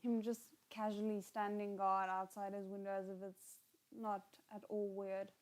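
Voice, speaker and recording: A woman hosting a live watchalong, reacting casually and chatting with viewers in a halting, unhurried way, often trailing off mid-thought. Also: mid-range voice, little pitch movement, a little mellow.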